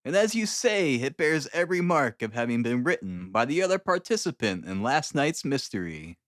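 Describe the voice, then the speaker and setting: A man voicing a brilliant, sardonic gentleman detective in an audiobook, speaking with formal, ironic courtesy. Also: mid-range voice, big pitch swings, normal speed, no room sound, studio quality, lightly acted. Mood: courteous, assured.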